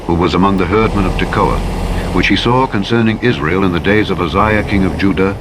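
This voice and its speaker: Male scripture narrator, low register, grave and declamatory, measured delivery, heard over clear engine noise. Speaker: audiobook narrator of the Bible. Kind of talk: solemn dramatic scripture reading. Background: truck engine and road noise from a driving game.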